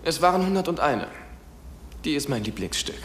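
A man's voice: on the high side, sing-song, moderate pace, slight background noise. An actor playing a brooding, wealthy male hero in a radio play, with music behind. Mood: calm. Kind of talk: dubbed radio play acting. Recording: music under the voice.

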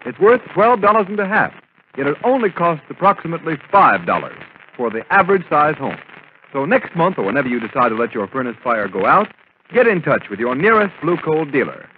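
A man announcing with a fairly high, lively voice, pitching brisk and persuasive. His voice comes over a tinny, hissy old radio transcription. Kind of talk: radio commercial read.

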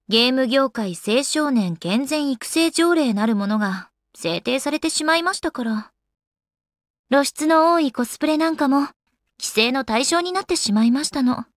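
An actress voicing an elegant, teasing young goddess in a Japanese video game, with refined, polite and slightly haughty manners. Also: female voice, sits high, dry recording, studio quality. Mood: firm, haughty. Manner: polite, haughty ojou-sama refinement with playful coyness.

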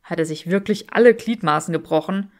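A woman's voice: on the low side, brisk, lightly acted. A woman voicing a proud young man in an audiobook, dry, curt and sardonic. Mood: curt, probing.

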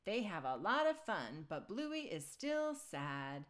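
A woman reading a children's picture book aloud in an expressive storytelling style. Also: on the low side, takes it slowly.

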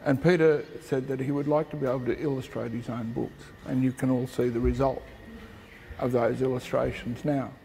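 A man's voice: mid-range voice, fairly even intonation, moderate pace, vocal fry, mellow. An older man giving an informal speech as a guest at an event. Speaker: guest speaker. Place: large indoor gallery hall, audience present. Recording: noisy echoing hall.

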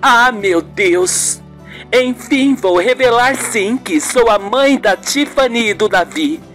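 A passionate radio soap heroine, put on by a solo performer, anguished and earnest. Her delivery is slow and melodramatic, with lively swings of pitch over a faint music bed. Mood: resolute. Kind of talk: radio soap opera acting. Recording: music behind the voice.

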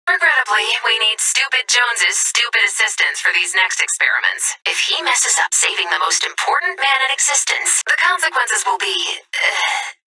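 A woman's voice: fairly high pitch, very animated, normal speed, bright, forceful tone, husky, distant mic, soft background music, heavily acted, low-quality recording. A woman playing a haughty, theatrical female AI computer in a video game, sarcastic and contemptuous. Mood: dry, imperious.

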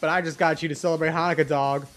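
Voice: mid-range voice, fairly even intonation, rapid-fire, soft background music, close to an everyday voice. A storyteller voicing a cheeky, unbothered folk-tale hero who talks in a casual, teasing way. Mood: cheeky, nonchalant.

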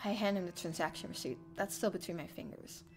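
A woman's voice: on the low side, fast talker, vocal fry. A young adult woman streamer reading a visual novel aloud in dramatic first-person narration. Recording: faint music behind.

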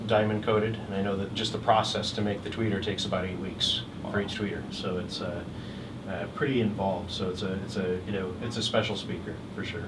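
A middle-aged man casually presenting products as a dealer, with a halting, hesitant delivery. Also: on the low side. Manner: halting, hesitant delivery with frequent long pauses. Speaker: hi-fi dealer exhibiting at a trade show. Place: hotel room at an audio show, indoors. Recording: distant mic, clearly noisy room.

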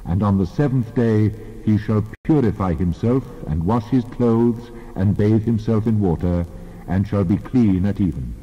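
Male scripture reader, low voice, measured and solemn, long reverb on a dull lo-fi recording. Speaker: Bible reader. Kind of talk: dramatized scripture reading.

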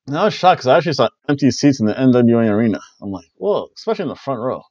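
A middle-aged man rambles through a wrestling recap from his home room, tossing in jokes and playful exclamations. His voice sits at an ordinary middle pitch. The headset audio is dull and lo-fi, with a dry, close sound. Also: big pitch swings, racing, darkish tone, no room sound. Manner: chatty, jokey, loose play-by-play with playful exclamations. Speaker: wrestling review host. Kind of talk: casual review chat. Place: small home room with bookshelves, indoors. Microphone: headset mic on a webcam call.

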